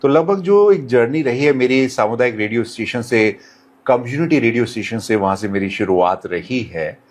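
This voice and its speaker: A man who works as a radio presenter, answering interview questions in measured, courteous, formal phrasing with English words mixed in. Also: mid-range voice, lively intonation. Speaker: radio presenter, podcast guest.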